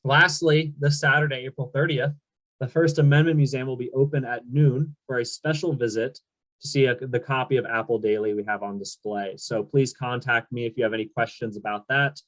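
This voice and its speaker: A man's voice: mid-range voice, fairly even intonation, brisk, a bit bright, dry recording, poor audio. A man moderating a panel webinar over a video call, friendly and upbeat with frequent ums. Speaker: event moderator.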